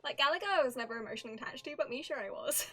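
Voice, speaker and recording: A woman's voice: high-pitched, animated, very fast. A woman livestreamer giving casual, chatty game reaction commentary, thinking aloud as she goes. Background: soft game music.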